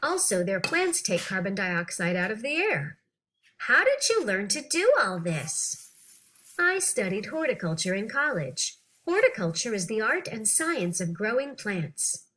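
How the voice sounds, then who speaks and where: A woman reading an interview text aloud for children in an animated, clearly phrased, teacherly way. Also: mid-range voice, a little slow. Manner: animated, clearly phrased, acting out questions and answers.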